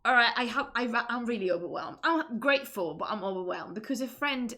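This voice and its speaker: A woman's voice: fairly high pitch, lively intonation, fairly quick, bright, forceful tone. A Spanish woman speaking English with a Spanish accent, chatting casually with many restarts. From Spain. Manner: chatty, self-interrupting, laughs often. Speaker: streamer. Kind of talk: casual chat.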